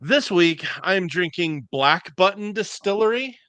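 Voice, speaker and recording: Upbeat male host, smooth resonant voice, slightly high. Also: lively intonation, a little slow, pushed and bright, dry recording. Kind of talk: casual chat.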